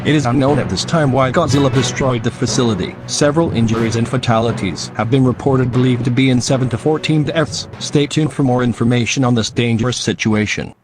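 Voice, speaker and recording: A man's voice: mid-range voice, fairly even intonation, fairly quick, few pauses. A man reporting scripted news in a formal manner as an anchor. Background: music.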